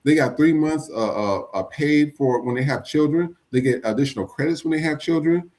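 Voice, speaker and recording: A man's voice: on the high side, fairly quick, a little mellow. A man hosting a podcast, giving blunt, profane commentary on the news. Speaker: podcast host. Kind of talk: casual commentary.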